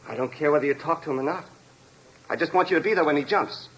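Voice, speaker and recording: A man playing a high-voiced, politely menacing madman. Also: quick pace, close to an everyday voice. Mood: smug, threatening. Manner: polite, composed, coldly precise. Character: deranged, calmly menacing escaped male convict with a bomb. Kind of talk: 1950s radio drama acting. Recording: noisy vintage radio recording.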